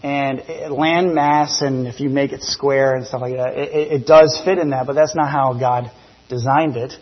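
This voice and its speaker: A man in his thirties from New Zealand gives an informal church testimony, conversational and halting, often correcting himself. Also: mid-range voice, fairly even intonation, lo-fi sound. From grew up in New Zealand. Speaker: missionary giving a church presentation. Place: church, speaking to a congregation. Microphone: church PA microphone.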